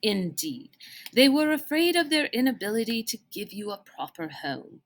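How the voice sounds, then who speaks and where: A woman reading aloud as a formal, kindly older gentleman mentor who speaks in deliberate, old-fashioned phrases. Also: very high-pitched, big pitch swings, full character voice. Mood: earnest explaining.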